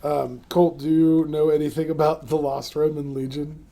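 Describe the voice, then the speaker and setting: A man's voice: on the high side, level tone, normal speed, creaky, dark tone. A man hosting a casual comedy podcast, joking around with many fillers and frequent laughs. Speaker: podcast host.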